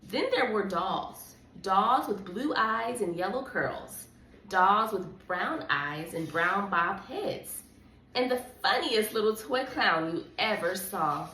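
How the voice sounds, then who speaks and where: Woman, children's read-along host. Animated, encouraging storybook delivery. Roomy sound with faint noise. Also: mid-range voice, slow pace, far from the mic. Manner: encouraging, coaxing. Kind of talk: expressive storybook reading with chat to a child. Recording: echoey room with background noise.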